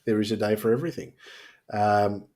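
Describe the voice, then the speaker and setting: A man co-hosting a podcast, chatting casually in a hesitant, halting way. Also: on the low side, steady tone, dark tone. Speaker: podcast co-host. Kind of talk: casual panel chat.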